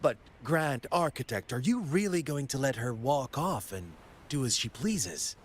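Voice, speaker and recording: A gleefully cruel, unhinged game villain. His delivery is slow and mocking, savoring each phrase, and his pitch leaps about theatrically. He sounds like a showman who delights in menace. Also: big pitch swings, a little hiss or hum. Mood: amused, mocking. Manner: mocking, flamboyant, drawn-out phrasing. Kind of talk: video game cutscene acting.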